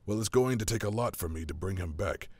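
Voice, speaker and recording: Gravelly, animated brotherly god. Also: male voice, lowish voice, quick pace, dry recording. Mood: coaxing. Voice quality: gravelly, husky. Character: earnest, animated male god, worried brother. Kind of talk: audio drama acting.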